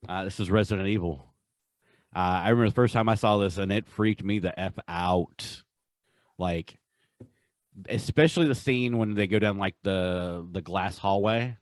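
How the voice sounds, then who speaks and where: A man co-hosting a podcast in casual, enthusiastic chat, with a halting way of talking. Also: fairly low pitch, no room sound. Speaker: podcast co-host. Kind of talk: casual chat, countdown commentary.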